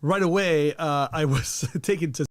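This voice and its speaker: A man's voice: a little high, moderate pace, no room sound, clean studio sound. A man in his fifties from Colombia, a native Spanish speaker, chatting casually as a guest, laughing and rambling a lot. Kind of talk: casual chat.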